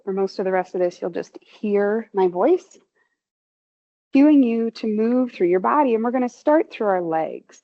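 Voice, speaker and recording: Gravelly-voiced woman guiding muscle relaxation. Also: fairly low pitch, darkish tone, highs cut off. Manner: slow, soothing cues with rising emphasis on tensing. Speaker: movement instructor. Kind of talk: guided progressive muscle relaxation.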